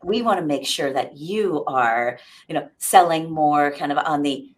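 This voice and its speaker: A woman's voice: deep voice, measured pace. A woman speaking as an executive guest in an interview, explaining conversationally and often checking in with the listener.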